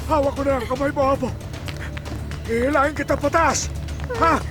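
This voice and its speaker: A man's voice: extremely high pitch, a little fast, music in the background. A man playing an emotional, protective old grandfather in a Filipino radio drama, speaking emphatically and pleadingly. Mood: desperate urging. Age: older. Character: frail, loving old grandfather, reproachful and protective.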